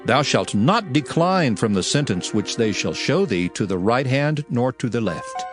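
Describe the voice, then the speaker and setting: An actor voicing a solemn prophet proclaiming ancient law in a declamatory, emphatic dramatized scripture reading. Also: male voice, medium pitch, sing-song, measured pace, music in the background. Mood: solemn, commanding. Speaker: scripture narrator.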